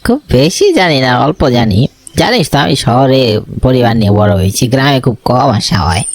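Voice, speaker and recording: Young male buddy , played by a man, relaxed and conversational, medium pitch, horror cartoon. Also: normal speed, slight background noise, close to an everyday voice. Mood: matter-of-fact.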